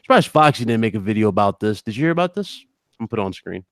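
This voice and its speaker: A man's voice: fairly low pitch, big pitch swings, rapid-fire, dry recording, clean studio sound. A man hosting a casual gaming livestream, chatting informally and teasing his co-hosts as he talks to the audience. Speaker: host of a gaming podcast livestream. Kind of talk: casual live-stream chat and news commentary.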